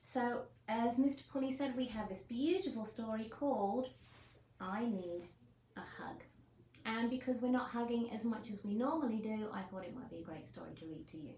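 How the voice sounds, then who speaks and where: A woman's voice: fairly high pitch, normal speed, dark tone. A woman leading children's story time as a teacher, encouraging and asking her listeners questions. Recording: echoey room, faint noise.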